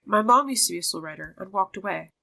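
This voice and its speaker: A woman's voice: mid-range voice, expressive, fast talker, creaky, pushed and bright. A woman voicing earnest young female riders from a fantasy horse game, expressively reading their dialogue. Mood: troubled, earnest.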